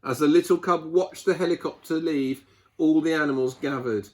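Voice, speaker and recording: A man reading a picture book aloud to children, expressively, with dramatic pauses and emphasis. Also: fairly high pitch, a little mellow. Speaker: children's storyteller.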